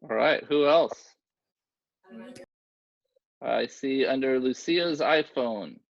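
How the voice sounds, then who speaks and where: A man's voice: mid-range voice, takes it slowly, vocal fry, no room sound, muffled recording. A man casually hosting a children's online event and moderating their questions. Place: video call.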